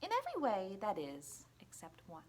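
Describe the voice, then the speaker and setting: A woman reading a children's picture book aloud in an expressive, deliberate, playful storytelling manner. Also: medium pitch. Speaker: storybook reader at a library.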